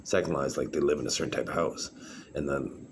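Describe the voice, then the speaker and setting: A man with a very deep voice, talking in a halting, rambling monologue full of fillers and restarts. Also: fairly even intonation. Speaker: vlogger. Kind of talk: rambling solo monologue to camera. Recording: clear background noise.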